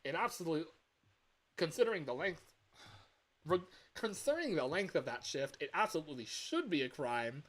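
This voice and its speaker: A man performs an upbeat corporate caller briefing a new hire in a video game phone message. The delivery is official and presentational. The pitch is lifted well above ordinary male chat, keeping the briefing cheerful. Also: sing-song, normal speed, pauses often, a bit bright. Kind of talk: improvised game phone-call voice line.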